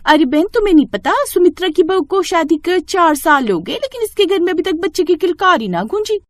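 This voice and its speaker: Woman acting a catty, high-pitched street gossip. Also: animated, brisk, no room sound. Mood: gossipy. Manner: snide, emphatic. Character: catty, gossiping neighbourhood women.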